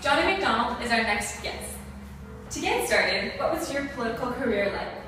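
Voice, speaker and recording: A woman reading scripted interview questions for a school project, in a soft, careful way. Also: fairly high pitch, expressive, moderate pace. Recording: echoey room, far from the mic, music behind.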